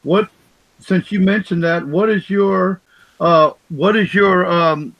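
A man with a big, fairly high voice joins the podcast conversation, eager and meandering as he talks. His mic is close and dry, with no room sound around him. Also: slow, gravelly, fairly bright tone, no room sound, highs cut off. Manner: big-voiced, enthusiastic and rambling. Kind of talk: casual podcast chat. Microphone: own separate mic.

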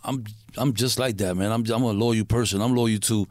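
A middle-aged man from Puerto Rico, a guest in a casual interview, who talks in a halting way, repeating words and using street slang. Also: fairly low pitch, fairly even intonation, darkish tone, no echo, studio quality.

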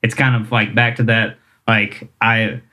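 Singer-songwriter guy, kinda low voice, rambly and self-deprecating, chuckles a lot. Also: flat delivery, measured pace, bright, forceful tone. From Kentucky, US. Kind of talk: casual interview chat.

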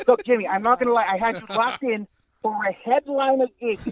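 A man's voice: very high voice, a little fast, highs cut off. A man voicing a pushy older male talent agent who calls in to nag and scold, bossy and repeating the listener's name constantly. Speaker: caller. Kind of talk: improvised comedy bit.

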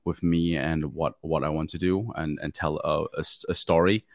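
A man's voice: rumbling low, steady tone, normal speed, no echo, studio quality. A man from Germany in his thirties, a guest photographer speaking hesitantly with many ums and restarts on a video call. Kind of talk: casual interview chat. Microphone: studio mic on a video call.

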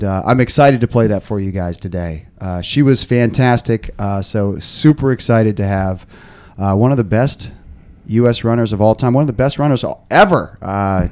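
A man hosting a casual podcast, a bit hoarse, rambling with lots of fillers and restarts. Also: on the low side, normal speed, darkish tone. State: slightly hoarse. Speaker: podcast host. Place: podcast studio.

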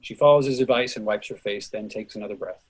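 A man's voice: on the low side, quick pace, husky. A man narrating scripted stage directions for a play reading.

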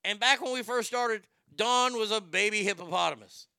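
A middle-aged radio host with a high tenor voice that sounds bright and forceful. His speech comes out smooth and crisp, recorded dry and close. He tells crude, brash stories with plenty of swearing. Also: normal speed, dry recording. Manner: crude, brash, animated storytelling with profanity. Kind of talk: casual storytelling monologue.

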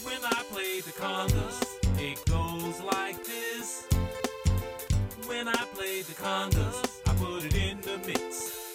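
A woman sings a children's song as a steady, slow chant. Loud backing music plays behind her voice. She calls out each line as if leading a group. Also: mid-range voice. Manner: chanting call-and-response lyrics.

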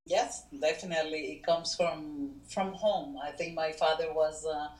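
A middle-aged woman from Venezuela, a guest co-founder of a foundation, speaking natively and talking in an earnest, halting way with many fillers. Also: deep voice, steady tone, a little mellow, reverberant, poor audio. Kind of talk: casual interview talk.

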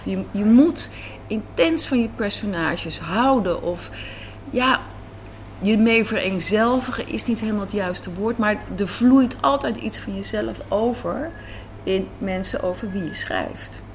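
A woman's voice: medium pitch, lively intonation, unhurried, gravelly. A woman answering as an interviewed writer and director, reflective and emphatic, repeating phrases for emphasis. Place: café interview. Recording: some background noise and ambience.

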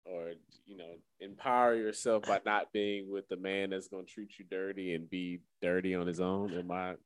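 A man with a low-pitched voice co-hosts a casual music talk show, recorded close and dry. He puts questions to his partner and tends to repeat his phrases. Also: steady tone, moderate pace, clean tone, no echo, low-quality recording. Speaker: radio show co-host.

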